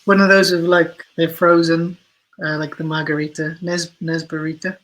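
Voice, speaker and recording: A man as a podcast guest, talking hesitantly with many pauses and fillers. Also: fairly high pitch, flat delivery, a bit bright, slight background noise, rough recording. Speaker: guest writer. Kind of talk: casual interview chat.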